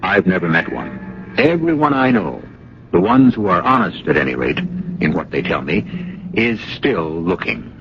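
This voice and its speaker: A man hosting an old radio mystery drama as a grave, philosophical narrator, with a measured, theatrical delivery. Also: deep voice, huge pitch swings, vocal fry, background noise, exaggerated voice. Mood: grave, musing. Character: grave, philosophical male mystery show host. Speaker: radio drama host and announcer. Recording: dull old radio broadcast, music behind.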